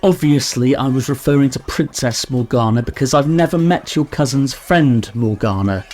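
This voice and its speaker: A man's voice: mid-range voice, normal speed, a little mellow, close to an everyday voice. A man playing a pompous knight in a comic radio play, curt and pedantic in manner. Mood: scornful. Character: pompous, exasperated male knight, Sir Cai.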